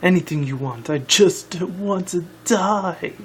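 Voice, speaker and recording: A man voicing a fallen insect-like queen who pleads desperately in drawn-out phrases, in an amateur story reading. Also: sits high, slight background noise, voice pushed up. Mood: desperate begging. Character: fallen changeling queen, proud but desperate, begging.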